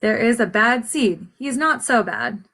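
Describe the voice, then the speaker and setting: Yavaş tempolu, öğretici konuşan bir kadın. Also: female voice, on the high side, animated, measured pace, pushed and bright, breathy edge. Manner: instructive, addresses listeners as friends. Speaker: teacher reading aloud to children. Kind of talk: read-aloud story and lesson questions for children.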